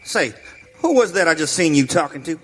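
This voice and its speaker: A man's voice: a little high, big pitch swings, fairly quick, fairly bright tone. An actor playing a cranky, suspicious frontier father in a western radio play, scolding in folksy American dialect. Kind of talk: live western radio theater acting. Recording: hall reverb, faint noise.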